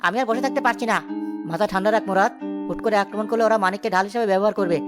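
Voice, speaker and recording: A woman's voice: medium pitch, rapid-fire, over music, close to an everyday voice. A woman playing an earnest young village rescuer in a cartoon story, speaking in a dramatic, urgent manner. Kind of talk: animated cartoon story acting.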